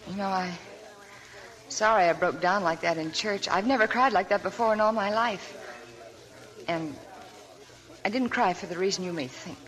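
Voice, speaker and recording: An actress playing a sad young woman hiding her past, in a soft, subdued 1940s radio drama style. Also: mid-range voice, moderate pace, pauses often, lightly acted, poor audio. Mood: confiding. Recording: old radio broadcast with faint music.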